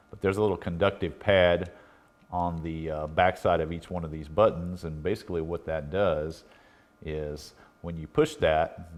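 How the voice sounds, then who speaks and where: A middle-aged American man giving a casual, hands-on repair walkthrough in a folksy, unhurried way with halting pauses. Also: low-pitched. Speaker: electronics repair technician and video host. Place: indoor workbench.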